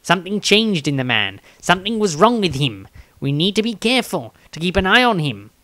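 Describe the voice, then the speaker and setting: A man's voice: sits high, sing-song, fairly quick, fairly bright tone, dry recording. A man voicing a conceited male scholar of magic, pompously reading from his storybook diary. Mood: suspicious, self-important.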